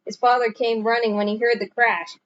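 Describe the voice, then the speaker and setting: A woman narrating a children's story aloud in an expressive storytelling manner. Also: fairly high pitch, brisk, dull audio. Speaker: storybook reader.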